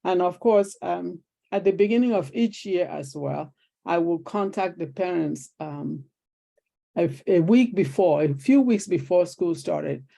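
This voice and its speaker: Older Nigerian woman, guest storyteller, rough-edged mellow tone. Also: lowish voice, unhurried. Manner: animated storyteller acting out quoted exchanges. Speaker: guest, retired teacher. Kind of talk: conversational interview storytelling.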